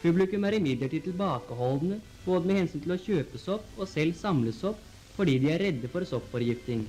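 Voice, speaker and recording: A man reading scripted 1940s newsreel narration in a formal, measured announcer style on an old film soundtrack. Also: a little high, lively intonation, a little mellow, faint music behind, rough recording. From Norway.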